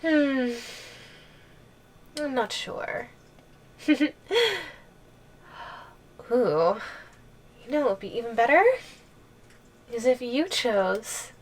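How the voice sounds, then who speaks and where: A woman's voice: fairly high pitch, expressive, drawn-out words, long pauses, a little hiss or hum. A woman playing a playful, possessive giantess girlfriend in an ASMR audio roleplay, giggly and coy with lingering hums. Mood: teasing, menacing.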